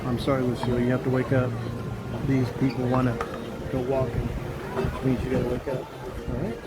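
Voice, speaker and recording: A man's voice: medium pitch, monotone, normal speed. Joking man livestreaming outdoors. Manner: joking, teasing, talks to chat viewers. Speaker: livestream host. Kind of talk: casual live-stream chat while walking. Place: outdoors along a beach and city streets. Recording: loud outdoor street and water noise.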